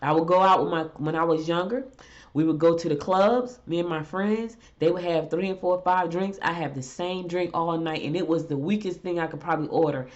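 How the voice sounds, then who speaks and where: A woman's voice: lowish voice, fairly quick. A middle-aged woman with a stuffed-up head cold, hosting a morning show in a chatty, emphatic monologue. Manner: chatty, emphatic, exasperated rants. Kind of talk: casual talk show monologue. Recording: dull lo-fi audio.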